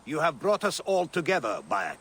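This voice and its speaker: An actor playing an earnest, eager magistrate of an ancient city in a video game. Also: male voice, a little high, very animated, moderate pace, slight background noise. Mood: happy, proud. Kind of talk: video game cutscene acting.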